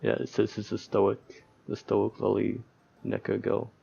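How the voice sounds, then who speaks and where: Low creaky guy doing a let's play, reading game text out loud, dull lo-fi mic. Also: monotone, measured pace. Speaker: let's player reading a visual novel aloud. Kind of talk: casual gaming commentary mixed with reading game text aloud.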